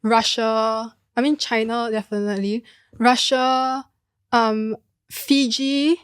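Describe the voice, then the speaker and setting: A woman's voice: on the high side, little pitch movement, slow pace, smooth. A woman with a Singaporean accent, chatting casually and telling anecdotes as a podcast co-host.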